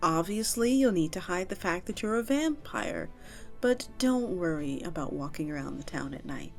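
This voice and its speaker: A woman as a lively, friendly vampire innkeeper. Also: lowish voice, moderate pace, creaky, soft background music. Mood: helpful, informative. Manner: animated, coaxing, conversational with little chuckles. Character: friendly vampire innkeeper, warm, nurturing, chatty tavern keep. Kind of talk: immersive audio roleplay addressed to the listener.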